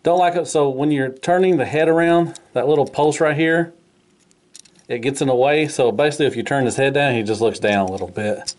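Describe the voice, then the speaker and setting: A man's voice: medium pitch, fairly quick. Casual man reviewing toys. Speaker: toy reviewer. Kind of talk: casual hands-on product review.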